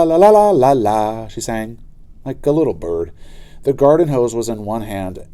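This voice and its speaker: Man, children's book narrator, expressive, animated pitch, very warm relaxed timbre. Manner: animated, dramatic delivery. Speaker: read-aloud storyteller.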